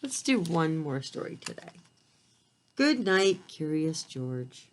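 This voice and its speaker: Slow, animated woman reading to preschoolers. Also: low voice. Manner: simple child-directed asides and questions; expressive storytelling delivery. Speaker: preschool read-aloud storyteller. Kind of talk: picture-book read-aloud for young children.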